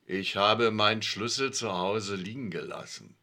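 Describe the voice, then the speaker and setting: A grumpy, stubborn handyman, voiced by a man reading a comic story. He grumbles in a low, slow drawl. There is still a bright edge to his voice. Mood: grumbling. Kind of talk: comic story read aloud.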